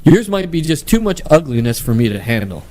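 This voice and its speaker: A man's voice: a little high, big pitch swings, fairly quick, darkish tone. A man voicing a blasé, oversharing dentist who coaxes his patient in a comedy cartoon. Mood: awkward, frank. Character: blasé, oversharing male dentist, coaxing and faintly shifty.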